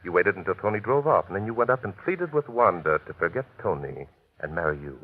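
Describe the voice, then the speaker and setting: A man playing a shrewd, unruffled detective in a classic radio mystery, polite, methodical and smoothly insistent, with a husky voice. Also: low-pitched, very animated, fast talker, exaggerated voice. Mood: measured, deliberate. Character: shrewd, unruffled male detective, courteous and probing. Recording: tinny, narrow-band old radio recording.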